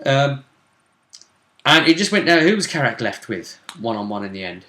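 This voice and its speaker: Bright-voiced man in an echoey room. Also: medium pitch, very animated, moderate pace. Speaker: co-host of a gaming vidcast. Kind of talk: casual speculative commentary on a tabletop role-play tournament.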